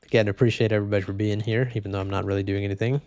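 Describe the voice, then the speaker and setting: A young man casually chatting with viewers while playing a game, speaking in a flat, low-energy way with a slightly congested voice. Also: rapid-fire. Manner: flat, low-energy, self-described boring voice. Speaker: streamer reading chat. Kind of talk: casual gaming livestream chat. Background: faint game music and keyboard typing.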